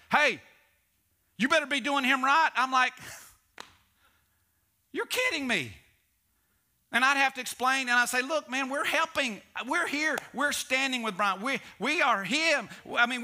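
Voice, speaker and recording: A middle-aged male pastor preaching a sermon on a church stage, animated, swinging between loud emphasis and hushed asides. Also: very high voice.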